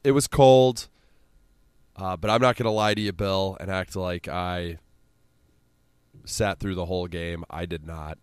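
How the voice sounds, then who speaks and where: A man's voice: deep voice, fairly even intonation, unhurried, pauses often, darkish tone, smooth, no room sound. A man with a central Illinois accent, hosting a casual sports podcast with exasperated, sweary rambling.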